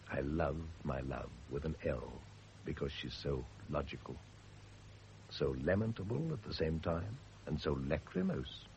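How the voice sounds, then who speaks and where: An actor playing a sardonic, world-weary husband in a radio drama, dry and articulate. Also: male voice, extremely low pitch, gravelly, darkish tone, exaggerated voice. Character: sardonic, world-weary husband under suspicion, quick to anger. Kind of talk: period radio drama acting. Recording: hissy old radio drama recording.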